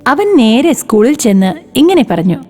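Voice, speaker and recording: A woman's voice: fairly high pitch, very animated, unhurried, a little mellow, soft background music. A woman narrating a scripted children's moral story.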